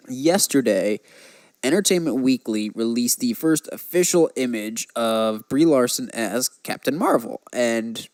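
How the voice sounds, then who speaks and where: A man with a slightly high voice chats as a podcast host. He sounds enthusiastic and conversational, and the recording is dry and close, with little room sound. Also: a little mellow, no echo. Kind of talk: casual podcast chat.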